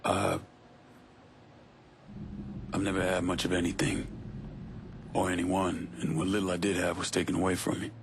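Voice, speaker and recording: An actor playing a stoic, weary, hardened criminal in a video game, laconic and subdued with a gravelly voice. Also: male voice, flat delivery, normal speed, long pauses, a little hiss or hum. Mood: weary, resigned. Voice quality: deep, gravelly. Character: stoic, weary, hardened male crime avenger.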